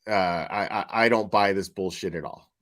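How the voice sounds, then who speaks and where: A man's voice: low-pitched, normal speed, a bit bright. A man giving casual, sweary reaction commentary in a blunt, mocking, sardonic manner. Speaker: commentator reacting to online true-crime streamers.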